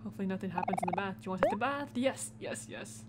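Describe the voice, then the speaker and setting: A woman's voice: mid-range voice, lively intonation, very fast, very noisy. A woman doing casual let's play commentary, chattily thinking aloud and reading game text out as she goes. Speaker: gaming video host.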